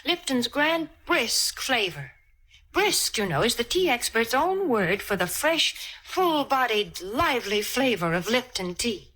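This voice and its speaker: A woman co-host delivers an emphatic 1940s radio sales pitch. Her voice is bright and fairly high, with lively swings in pitch. It is a prim, chatty delivery on a vintage recording. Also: measured pace. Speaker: commercial announcer and co-host.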